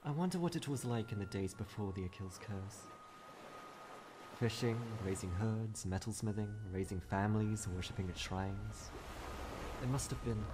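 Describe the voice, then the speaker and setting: A man's voice: lowish voice, close to an everyday voice. A man voicing a wry, world-weary woman adventurer in a comic dub, with a dry, sardonic delivery. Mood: reflective. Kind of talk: comic dub acting with reflective monologues.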